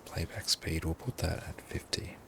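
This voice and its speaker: Man, streamer, very low gravelly voice, hushed half-whisper, friendly game commentary. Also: fairly even intonation, normal speed, background noise. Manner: friendly, thanks viewers often. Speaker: livestreamer. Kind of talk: gameplay livestream commentary.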